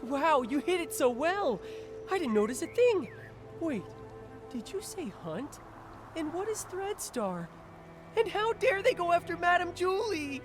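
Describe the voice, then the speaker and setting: High, spirited young rebel. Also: female voice, sing-song, a little slow, heavily acted. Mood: astonished, indignant. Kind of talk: video game story dialogue. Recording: background music under the voice.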